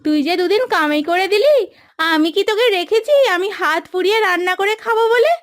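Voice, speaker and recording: A woman's voice: very high-pitched, fairly quick, a bit bright. A woman playing a haughty, demanding mistress of the house in a cartoon moral story, bossy in manner. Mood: scolding. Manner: sarcastic, bossy, scolding. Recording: dry studio voice over background music.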